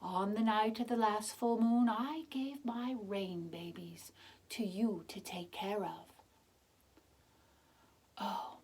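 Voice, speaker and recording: Storybook moon spirit, played by a woman, female, gentle, stately, slow, solemn, reassuring. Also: mid-range voice.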